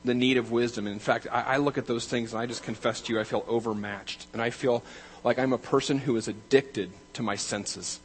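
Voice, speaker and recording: A man, as a pastor, preaching a sermon, building to loud, emphatic declarations and then dropping to quiet, measured reading. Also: mid-range voice, steady tone, low-quality recording.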